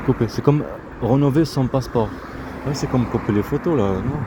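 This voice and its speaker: A man's voice: medium pitch, brisk. A man giving a spontaneous, rambling monologue outdoors, repeating himself in fragmented phrases as his thoughts drift. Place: outdoors near road with vehicles. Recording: noisy outdoor street, traffic noise.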